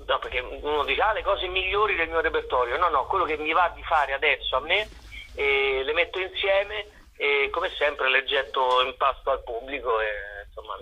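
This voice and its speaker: A man's voice: on the high side, moderate pace, bright, forceful tone, husky, muffled recording. A man being interviewed casually on radio as an actor and director, playful and ironic, often restarting his phrases.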